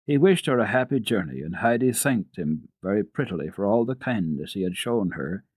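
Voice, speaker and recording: A man giving an expressive, careful dramatic reading of classic children's literature as a radio storyteller. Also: lowish voice, moderate pace, slightly rough, no room sound.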